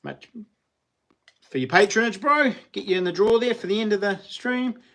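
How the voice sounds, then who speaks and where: A man's voice: high voice, moderate pace, fairly bright tone. A middle-aged man with a New Zealand accent, hosting a casual livestream and chatting with viewers in a rambling, slangy way with frequent laughs. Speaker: livestream host.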